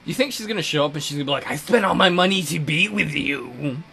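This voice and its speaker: A man's voice: a little high, expressive, fast, gravelly, bright, forceful tone. A man co-hosting a let's play with casual, teasing commentary and jokey interjections. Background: acoustic guitar game music.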